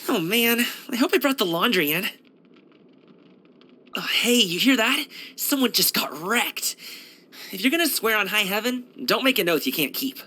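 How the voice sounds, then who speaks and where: Cheerful young kung fu dancer for a game: bright, animated, breezy, casual slang. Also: male voice, sits high, moderate pace, long pauses, heavily acted. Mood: casual, playful. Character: upbeat young male kung fu street dancer and courier. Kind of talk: video game character voice lines.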